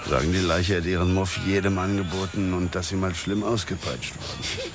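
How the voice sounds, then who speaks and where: An actor playing a blunt, coarse police colleague in a radio drama. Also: male voice, low voice, fairly even intonation, fairly quick, natural voice. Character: crude gruff male police colleague.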